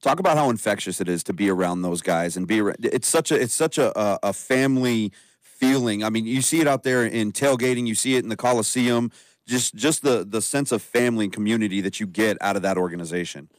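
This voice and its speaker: A man's voice: lowish voice, quick pace, no echo. A man co-hosting a sports radio show, asking easygoing, conversational questions. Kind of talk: casual radio talk.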